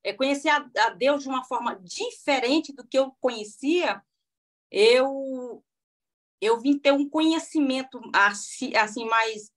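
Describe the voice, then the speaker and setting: A woman's voice: high voice, measured pace, a bit bright. Heartfelt hesitant woman in her forties. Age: 40s. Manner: hesitant, many restarts, heartfelt. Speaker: podcast guest. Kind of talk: testimony in a casual interview.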